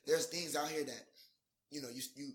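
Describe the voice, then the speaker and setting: A man's voice: fairly high pitch, fairly even intonation, moderate pace. A twenty-one-year-old man, a guest on a podcast, talking reflectively in casual chat and checking in with his listener often. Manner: reflective, keeps saying "you know what I'm saying".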